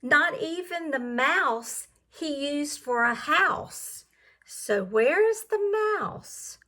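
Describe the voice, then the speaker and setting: A woman reading a picture book aloud like a teacher, in an expressive sing-song way, pausing to question and explain words to young listeners. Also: high-pitched.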